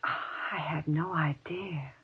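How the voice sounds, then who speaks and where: Nervous meek wife in old radio horror, husky, stammery, tinny narrow sound. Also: female voice, deep voice, takes it slowly, full character voice. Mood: hesitant, afraid. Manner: hesitant, pleading, stammering. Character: timid, anxious, placating wife. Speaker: radio drama actor. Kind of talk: golden age radio horror acting.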